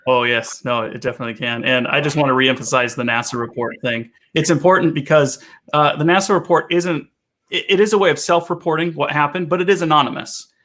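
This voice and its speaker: A man's voice: on the high side, fairly quick, a bit bright. A man hosting a live stream, chatting casually and giving advice in an affirming, emphatic way.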